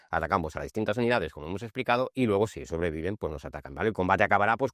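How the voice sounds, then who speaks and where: A low-pitched man with an accent from Spain hosts an informal game tutorial. He explains steps in a conversational way, adding short checks for understanding. The sound is dry, with very little room echo. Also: a bit bright. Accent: Spanish from Spain. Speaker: board game channel host.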